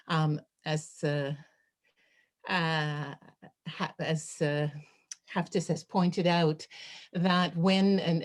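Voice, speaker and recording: A woman with a fairly low voice talks off the cuff at an online academic event. She hesitates a lot, fills gaps with ums and uhs and restarts her sentences, heard through a video call. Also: darkish tone. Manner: hesitant, many fillers and restarts. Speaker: chapter author speaking at a webinar. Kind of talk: unscripted academic talk.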